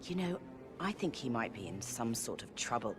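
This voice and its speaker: An actress playing a crisp, gossipy upper-class woman who sounds impatient, over game music. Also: a bass voice, big pitch swings, normal speed. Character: brisk upper-class British woman, sharp-tongued sister. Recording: game audio with music behind.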